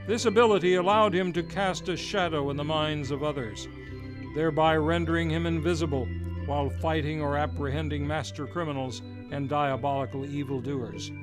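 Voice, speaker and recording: A man's voice: fairly high pitch, steady tone, normal speed. A man, hosting and introducing an old-time radio hour in a showman announcer manner. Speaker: radio show host. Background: music.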